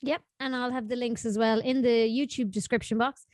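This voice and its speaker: A woman's voice: a little high, steady tone, a little fast, no room sound, clean studio sound. A woman hosting a podcast, chatting casually with a guest. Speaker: podcast host.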